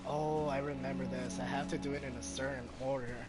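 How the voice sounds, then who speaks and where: A man's voice: medium pitch, flat delivery, a little fast. Man casually commenting over game music. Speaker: gamer commenting on own gameplay. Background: video game music.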